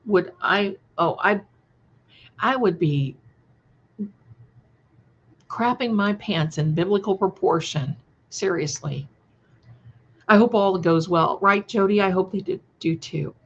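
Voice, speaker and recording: A woman hosting a casual livestream, chatting to viewers in an affectionate, effusive and somewhat halting, scattered way. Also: on the low side. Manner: affectionate, effusive, addressing listeners with endearments. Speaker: livestream host and creator. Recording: dull, lo-fi livestream audio.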